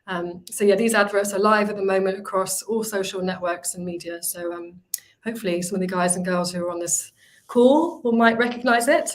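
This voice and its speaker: A woman's voice: mid-range voice, a little fast. A woman, presenting her recruitment company on a video call, talking freely with many fillers and restarts.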